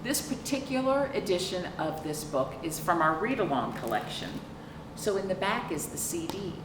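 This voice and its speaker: A middle-aged woman with a lowish, very lively voice reads to children as a storytime librarian. She stretches words for emphasis and turns to the listeners with questions. The recording is distant, with clear background noise. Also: reverberant. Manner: expressive, drawn-out emphasis and questions to young listeners. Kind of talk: picture-book read-aloud with chatty asides to children. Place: small indoor room, seated on a couch.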